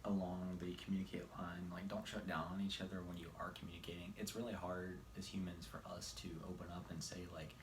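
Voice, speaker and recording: A man in his twenties with a very low voice talks informally and sincerely in a question-and-answer chat. The room is echoey, with faint noise around his voice. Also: level tone, fast talker, few pauses. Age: 20s. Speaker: husband answering viewer questions with his wife. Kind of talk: casual couple Q&A chat.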